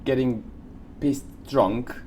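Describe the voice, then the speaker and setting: A man co-hosting a podcast, casually sharing odd facts in halting, informal phrases. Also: medium pitch, fairly even intonation, a little mellow, slight background noise. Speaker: podcast co-host.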